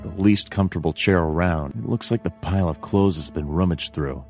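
An actor playing a weary, deadpan detective in a noir adventure game, muttering dryly to himself. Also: male voice, low-pitched, fairly quick, soft background music. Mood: dry, unimpressed.

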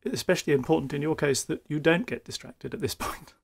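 Gravelly male interviewer. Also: fairly high pitch, fast. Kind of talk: conversational interview.

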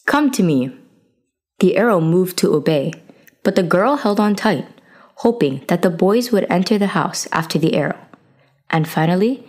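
Female storyteller for folktale narration, slightly low register, reading from a script. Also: darkish tone.

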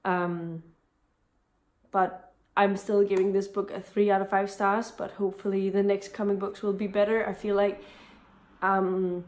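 A woman's voice: mid-range voice, flat delivery, unhurried, a little mellow. A young woman in her twenties with a voice strained by a cold, chatting casually about books in a home vlog, rambling with frequent restarts. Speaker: book vlogger. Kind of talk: casual book review vlog. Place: home room with bookshelves, indoors.

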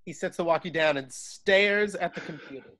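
High-pitched man reading stage directions. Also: normal speed, pushed and bright. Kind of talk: reading screenplay directions at a table read. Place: home, remote video call.

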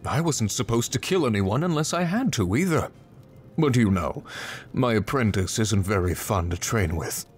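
A man's voice: lowish voice, expressive, slight background noise, natural voice. Smug, ruthless beast-man villain played by a man. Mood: smug, mocking. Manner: smug, menacing, unhurried. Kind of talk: voiced fantasy video game acting.

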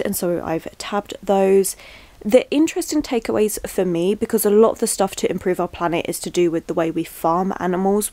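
A woman's voice: mid-range voice, darkish tone. A young woman talking casually to camera in a reading vlog, chatty and upbeat with rambling asides, at home among her bookshelves. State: stuffy from a cold. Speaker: book vlogger. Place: small bedroom with bookshelves, indoors.

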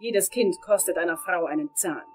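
An actress playing a vengeful, unhinged dental assistant turned killer in a German crime radio drama, with dramatic pauses. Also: female voice, lowish voice, soft background music, natural voice. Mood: bitter, wounded. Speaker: voice actor.

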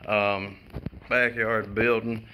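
A man giving a rambling, relaxed walkthrough of a house he is renovating, recorded on a handheld phone. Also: lowish voice, takes it slowly. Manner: rambling, relaxed, chuckling asides. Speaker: house flipper showing a renovation. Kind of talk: casual walkthrough vlog. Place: empty house, indoors and out. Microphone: phone camera held while walking. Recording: noisy handheld phone audio.

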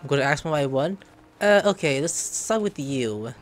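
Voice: medium pitch, expressive, normal speed. A gamer making casual let's-play commentary, swearing and joking crudely over game music.

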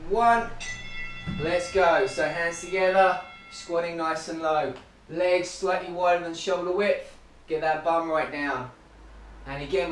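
A high-voiced man in his thirties gives upbeat, encouraging workout cues while exercising, a little out of breath. He is recorded indoors by a camera across the room, so his voice sounds distant. The living room adds an echoey ring. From Australia. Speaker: running club fitness coach. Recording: roomy, distant camera audio.

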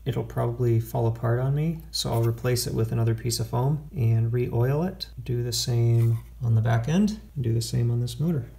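A man in his forties talks through hands-on repair work in a workshop. His voice sits at a medium pitch. He is plainspoken and matter-of-fact, with a self-deprecating streak. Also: fairly even intonation, moderate pace, vocal fry, few pauses, warm tone. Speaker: home repair video host. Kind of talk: casual hands-on repair explanation. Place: indoor home workshop.

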